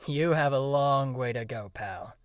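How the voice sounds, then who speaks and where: Man acting a sardonic, mid-pitched crow spirit. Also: measured pace, dry recording, faint music behind, lightly acted. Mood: wry. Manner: dry, flippant. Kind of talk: fan audio drama acting.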